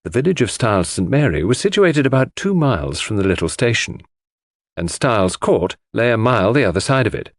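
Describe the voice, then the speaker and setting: A man's voice: lowish voice, sing-song, a little fast, dry recording, studio quality. A man reading a scripted first-person literary narration as an audiobook narrator.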